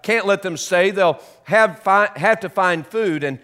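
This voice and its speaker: A man's voice: high-pitched, measured pace, pushed and bright. A man voicing a fussy, scolding little creature who guards the trees, reading a children's storybook aloud. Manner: indignant, scolding, rhyming rants.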